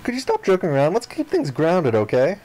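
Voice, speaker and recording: A man voicing a flippant, grandiose young amnesiac castaway, reading visual novel dialogue aloud in an expressive way. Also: fairly high pitch, a little fast. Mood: earnest, grandiose. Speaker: video game player reading aloud. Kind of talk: dramatic read-aloud of visual novel text.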